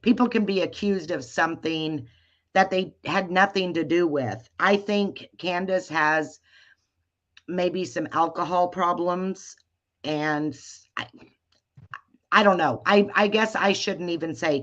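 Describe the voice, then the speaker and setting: A woman with a deep voice hosting a casual live chat, talking hesitantly with many restarts. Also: takes it slowly, fairly bright tone, muffled recording. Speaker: livestream host.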